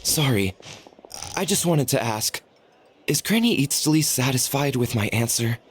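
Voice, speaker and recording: Timid, respectful tribesman for a video game, played by a man. Medium pitch, earnest and apologetic. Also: expressive, normal speed, a little hiss or hum, lightly acted. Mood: nervous, relieved. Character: polite, timid young tribesman, anxious and deferential. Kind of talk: video game character acting.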